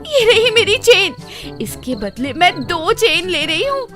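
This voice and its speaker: High-pitched, greedy young daughter-in-law voiced by a woman. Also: a little slow, music in the background, heavily acted. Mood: gleeful, greedy. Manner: excitable, eager, exclaiming melodramatically. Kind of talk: Hindi cartoon moral story acting.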